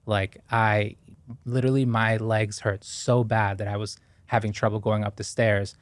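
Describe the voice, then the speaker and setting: A man's voice: fairly low pitch, level tone, moderate pace, dry recording. A man in his thirties from Ecuador, a podcast guest talking earnestly and thoughtfully about his past, with frequent fillers and restarts. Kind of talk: reflective interview talk.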